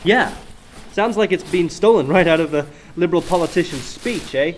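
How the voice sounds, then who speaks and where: A man's voice: on the high side, expressive, normal speed, slight background noise, natural voice. A man playing a good-natured, hapless sidekick who ends lines with "eh" in a comic radio play. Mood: musing. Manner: hapless, says "eh" at sentence ends. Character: dim, earnest, good-natured Canadian fugitive sidekick.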